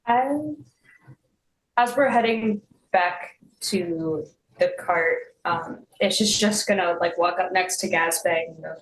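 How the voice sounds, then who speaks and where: A woman playing in a tabletop game, talking slowly and hesitantly in casual chat. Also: lowish voice. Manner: slow and hesitant, with laughs. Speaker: player. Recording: echoey room, faint noise.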